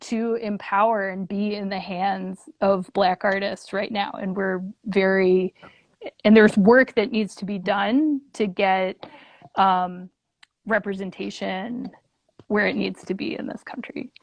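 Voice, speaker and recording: A woman's voice: medium pitch, steady tone, measured pace, no room sound. A woman speaking casually as an interview guest, earnest, thinking aloud in long, looping phrases. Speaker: guest, puppet theater leader.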